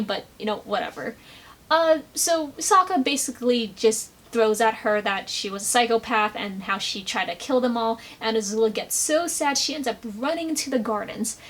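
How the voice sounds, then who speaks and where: A woman giving a casual, animated commentary recap with sarcastic asides. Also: fairly high pitch. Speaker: video commentator.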